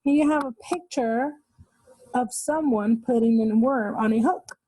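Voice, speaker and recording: A woman hosts a read-aloud for children in a husky, slightly high voice. She takes her time with each sentence, explaining and pointing things out. The sound is dry, with no room echo. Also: mellow. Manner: explanatory, pausing to point out pictures. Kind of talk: reading a children's nonfiction book aloud.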